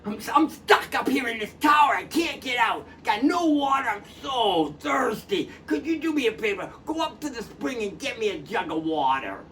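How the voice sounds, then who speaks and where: A storyteller voicing a thirsty little old man with huge eyes, trapped in a tower and shouting down for help. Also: sing-song, moderate pace, hardly any pauses, far from the mic, soft background music. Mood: pleading. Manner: shouting down from high up.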